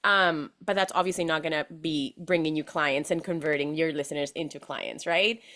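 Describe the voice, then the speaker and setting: A young woman from Venezuela talks as a podcast guest over a video call. Her voice sits a little low, and she speaks animatedly, laughing often and restarting sentences. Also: steady tone, moderate pace, pushed and bright. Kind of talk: casual interview chat.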